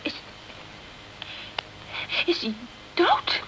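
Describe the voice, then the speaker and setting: An actress playing a defensive, offended nightclub singer in a radio crime drama, haughty and evasive in manner. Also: female voice, very slow, fairly bright tone. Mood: upset, disbelieving. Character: indignant, defensive female nightclub singer hiding the truth. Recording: noisy old radio play recording.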